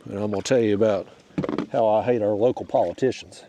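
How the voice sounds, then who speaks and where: A man's voice: fairly low pitch, steady tone, fast, lo-fi sound, background noise. A man, stuffed up and nasal, chatting to viewers and to the fish while out on a kayak. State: stuffed-up, nasal from a cold wind. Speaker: kayak fishing channel host. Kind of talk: casual narration while fishing. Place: outdoors on a kayak on a river.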